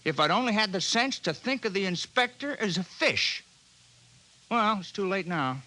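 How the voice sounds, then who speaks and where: A man's voice: high voice, sing-song, normal speed, pushed and bright, full character voice. A man playing a simple, good-natured village fisherman, plainspoken and rueful, in a 1950s radio drama. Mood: wistful.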